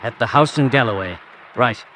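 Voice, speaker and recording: A man's voice: medium pitch, moderate pace, pushed and bright, a little hiss or hum, close to an everyday voice. An actor playing an urgent henchman spy reporting to his boss in an old-fashioned radio drama.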